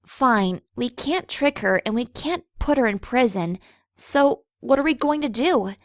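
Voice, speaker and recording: A woman's voice: fairly high pitch, lively intonation, a little slow, no echo, clean studio sound. A woman playing an earnest young pony princess, exasperated and questioning, in a fan fiction audio drama.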